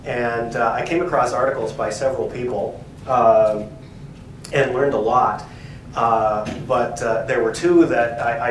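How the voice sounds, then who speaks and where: A male professor giving a casual, thoughtful talk at a library event, pausing often with fillers. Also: medium pitch. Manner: thoughtful, hesitant, many fillers. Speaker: professor, co-editor. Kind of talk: conversational academic talk. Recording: echoey room, distant mic, background noise.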